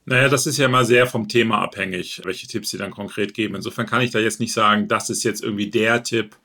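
Man from northern Germany, podcast host as guest. Gravelly, bright tone, chatty. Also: on the low side, steady tone, fast. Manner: chatty, thinks aloud with fillers. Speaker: podcast host being interviewed. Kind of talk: relaxed conversational interview.

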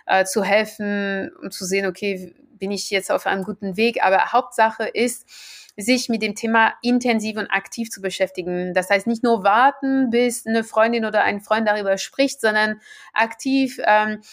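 A woman's voice: medium pitch, steady tone, moderate pace. A woman with a French accent, a native French speaker, answering as the expert guest in a podcast interview, earnest and building to emphatic repetition.

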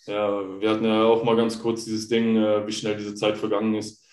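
A man's voice: lowish voice, monotone, moderate pace, darkish tone. A man in his thirties from Germany, telling stories as an interview guest in a rambling, chatty way with many fillers. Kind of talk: casual interview chat. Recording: roomy echo.

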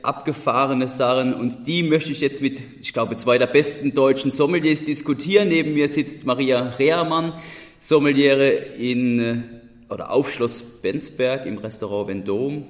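A man's voice: mid-range voice, moderate pace. Host, male, Odenwald German accent, moderating a wine chat, echoey room with a long tail. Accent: Odenwald German dialect. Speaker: podcast host. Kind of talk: hosted wine podcast conversation.

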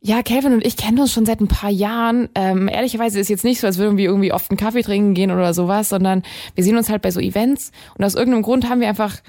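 A young woman singer with a deep voice, chatting casually in an interview with many fillers and restarts. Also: fairly even intonation, rapid-fire, dark tone.

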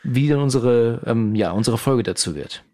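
A man in his forties, a guest on a podcast, talking in a hesitant way with many pauses and filler sounds. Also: mid-range voice, expressive, dark tone. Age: 40s. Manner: hesitant, many "ähm" pauses, easy laughs. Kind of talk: casual podcast conversation.

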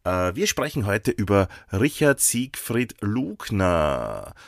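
A man with an Austrian German accent co-hosting a casual podcast, a little hoarse from a sore throat, talking in a chatty, playful way with plenty of fillers. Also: fairly low pitch, very animated, slow pace, no echo, clean studio sound. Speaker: podcast co-host.

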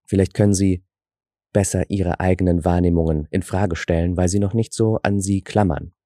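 A man's voice: low voice, fairly even intonation, moderate pace, dark tone, no room sound, studio quality. A man who grew up in Germany with Russian as his first language, hosting a reflective, explanatory solo podcast with self-deprecating asides. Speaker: podcast host. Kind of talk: scripted solo podcast talk.

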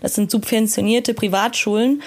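A woman's voice: a little high, fairly even intonation, normal speed. A woman guest speaking fluently and in detail, explaining things with occasional hesitations. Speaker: guest expert. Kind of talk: explanatory conversation.

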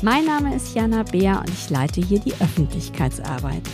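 A woman's voice: mid-range voice, very animated, normal speed, over music. A woman hosting a business podcast, reading a scripted intro and then asking friendly, upbeat interview questions. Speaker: podcast host and PR lead.

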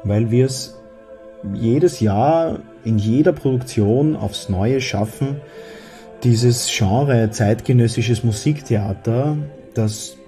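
A man speaking as a choir director, giving a prepared account of his work in a measured, reflective way. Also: medium pitch, warm tone. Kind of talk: prepared spoken self-introduction.

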